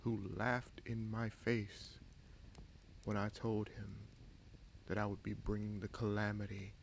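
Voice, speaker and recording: A man playing a vengeful, brooding bastard prince and sorcerer in a fantasy audio roleplay, speaking in a measured, solemn, halting way. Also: lowish voice, monotone, a little hiss or hum. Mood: bitter, resolute.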